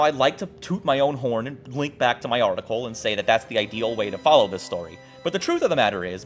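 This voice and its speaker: A man hosting a video essay, narrating from a script with casual, profane asides in an irreverent, jokey, emphatic way. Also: mid-range voice. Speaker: host of a video essay show. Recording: music playing behind the voice. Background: background music.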